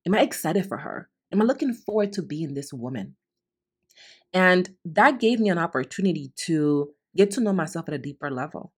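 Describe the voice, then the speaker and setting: Low-voiced earnest woman podcast host. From Honduras, raised in the Bronx, New York. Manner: earnest, heartfelt, repeats phrases for emphasis. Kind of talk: reflective solo podcast monologue.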